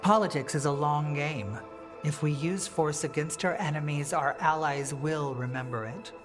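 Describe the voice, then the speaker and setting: A woman playing a principled female politician and rebel leader in a fantasy video game, measured, firm and earnest. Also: low voice, music in the background, lightly acted. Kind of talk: dramatic video game dialogue acting.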